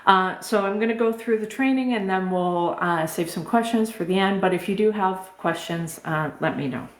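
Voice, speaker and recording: A middle-aged woman life coach with a soft voice, speaking gently and informally on a live stream, answering chat questions with many fillers. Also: medium pitch, normal speed. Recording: roomy echo.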